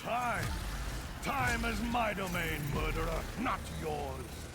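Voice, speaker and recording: An actress playing an imperious, defiant villain in a fantasy video game, theatrical and haughty, over loud music. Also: female voice, fairly low pitch, expressive, slow. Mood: haughty defiance. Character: imperious, defiant villain boasting mastery of time.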